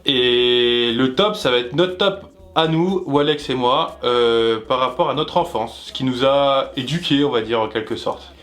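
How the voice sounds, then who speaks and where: A man's voice: medium pitch, a little slow, few pauses, a bit bright. A man co-hosting a web show, explaining things in an informal, jokey way and often interrupting himself. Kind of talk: casual explainer chat with co-host.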